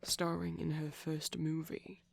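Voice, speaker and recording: A man playing a shy, gentle vampire boyfriend in an intimate ASMR roleplay, soft-spoken, breathy and creaky, rambling hesitantly and dipping toward whispers. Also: fairly high pitch, monotone, a little slow, noisy background. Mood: rambling, gently teasing.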